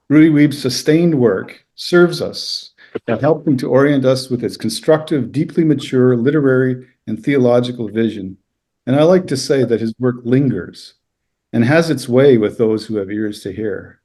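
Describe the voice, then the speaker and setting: Man, native Low German speaker, dark soft tone, middle pitch, lecturer, prepared speech, measured formal delivery. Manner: measured, formal, scholarly phrasing. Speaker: university lecturer, panel speaker. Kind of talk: prepared reflection read aloud at a book launch.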